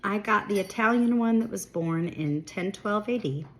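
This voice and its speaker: A young woman chats her way through baking in her kitchen, her voice stuffy and congested. She sits at a middling pitch and keeps her tone easygoing and encouraging. A faint hum of the room sits behind her. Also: normal speed, rough recording. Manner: chatty, self-deprecating, reassuring. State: congested, sniffling. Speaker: home baking vlogger. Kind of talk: casual baking tutorial talk. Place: home kitchen.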